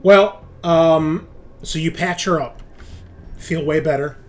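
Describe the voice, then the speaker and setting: A man's voice: a little high, steady tone, slow pace. A man running a tabletop roleplaying game, explaining rules and narrating in an informal, salty-tongued way. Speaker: game master.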